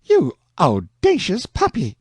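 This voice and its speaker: A man voicing a sharp-tongued older farm woman, scolding and indignant. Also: high-pitched, sing-song, normal speed, dry recording, voice pushed up. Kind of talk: audiobook character voice.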